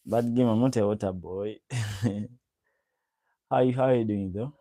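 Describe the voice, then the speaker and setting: A man's voice: lowish voice, fairly even intonation, takes it slowly, mellow, dry recording, clean studio sound. A young man from Uganda hosting a casual livestream, greeting viewers by name and repeating his questions. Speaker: livestream host. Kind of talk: casual livestream chat reading comments.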